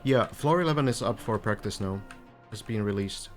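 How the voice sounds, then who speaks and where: A man's voice: lowish voice, normal speed, soft background music. A man from Finland, a Finnish native speaker, chatting casually on a live stream as he comments on a game and answers viewers. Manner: relaxed, chatty, answers viewers with light laughs.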